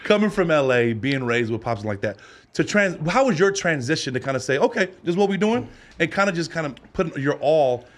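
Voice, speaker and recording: Fast, lively podcast host, a man. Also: fairly high pitch. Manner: animated, laughing, hype interjections. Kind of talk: casual interview chat.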